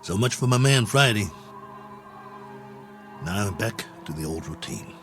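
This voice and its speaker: A low-voiced, stranded astronaut colonel in vintage television science fiction. He is lonely and dogged, with a wry, musing manner and lively intonation. Music runs underneath. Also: measured pace. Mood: weary musing. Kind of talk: 1960s television drama acting, monologue log entries.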